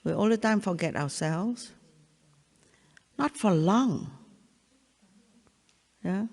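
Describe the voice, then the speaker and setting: Older Vietnamese-accented woman, spiritual teacher, halting and repetitive, long reverb of a large hall. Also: medium pitch, gravelly, a little mellow. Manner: halting, repeats phrases, checks in with "yeah?". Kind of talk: satsang talk.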